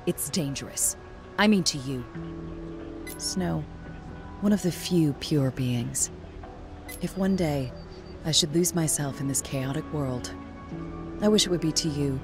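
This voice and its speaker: Slow, low-voiced stoic female guard. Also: over music. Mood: solemn, reserved. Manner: formal, restrained, solemn delivery. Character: stoic, devoted young female royal guard warrior. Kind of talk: scripted video game character voice lines.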